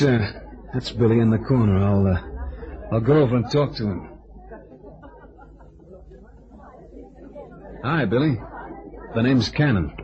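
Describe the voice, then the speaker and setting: An actor playing a wry, tough but affable amateur sleuth in an old-time radio drama, with streetwise, hesitant turns of phrase. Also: male voice, on the low side, darkish tone, lightly acted. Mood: wry, brisk. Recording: dull, lo-fi vintage radio recording.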